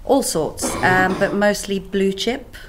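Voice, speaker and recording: A woman's voice: mid-range voice, slow, over a noisy crowd. A woman in her fifties with a South African accent, answering interview questions in a candid, chatty way. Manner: candid, chatty, laughs easily. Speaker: sales trainer guest.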